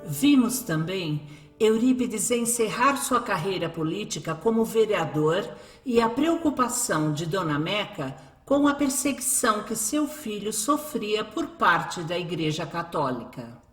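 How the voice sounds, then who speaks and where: A Brazilian woman delivers scripted narration for a radio serial. Her pitch is moderate. The voice carries a noticeable room echo, with faint music behind it. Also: sing-song, measured pace.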